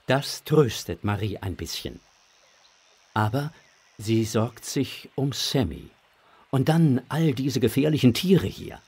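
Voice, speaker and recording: A male narrator tells a children's story with a very animated melody. His voice is husky and mid-pitched, and his delivery is expressive. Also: slow.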